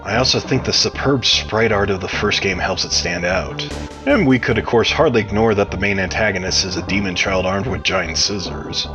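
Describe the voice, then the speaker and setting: A man giving measured, explanatory interview answers as a game modder, sounding like he reads from prepared text. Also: deep voice, music in the background, rough recording.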